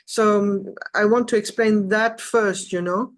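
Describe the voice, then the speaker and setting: A woman with a medium-pitched voice talks casually as a client on a coaching call. She explains in a halting, hesitant way, often stopping to search for words. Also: fairly even intonation. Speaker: coaching client, course creator.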